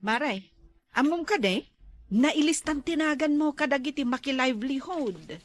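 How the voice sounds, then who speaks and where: A woman's voice: high voice, expressive, normal speed. A woman with an Ilocano accent playing a chatty, friendly village neighbour in a comedy drama.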